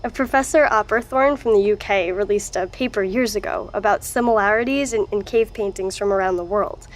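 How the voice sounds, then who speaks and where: An actress playing a wry, matter-of-fact researcher and archivist in an audio drama. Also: female voice, medium pitch, brisk, natural voice.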